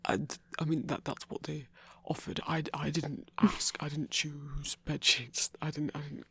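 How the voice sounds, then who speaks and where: A man voicing a shy, flustered werewolf hunter in an intimate ASMR roleplay, with a breathy, husky half-whisper and a halting stammer. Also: a little high, little pitch movement, pushed and bright, heavily acted. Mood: flustered, defensive. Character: flustered, guilt-ridden male werewolf hunter, awkward, shy.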